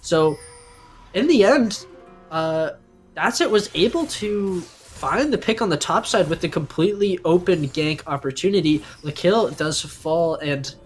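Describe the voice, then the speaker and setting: A man's voice: a little high, animated, measured pace, soft background music. A man giving live analytical commentary on an esports match in a conversational, explanatory way.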